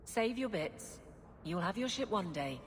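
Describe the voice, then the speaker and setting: Actress as a brusque guardswoman, lively intonation. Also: mid-range voice, a little slow, natural voice. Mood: blunt banter. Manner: blunt, teasing. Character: brusque, no-nonsense female city guard. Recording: long reverb, music behind.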